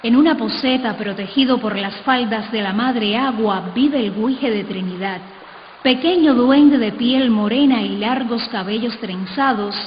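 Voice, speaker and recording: A woman's voice: a little high, a little slow, close to an everyday voice. A woman playing a storyteller in a radio docudrama, narrating a folk legend in lyrical, literary phrases. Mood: lyrical storytelling. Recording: muffled noisy playback in reverberant hall.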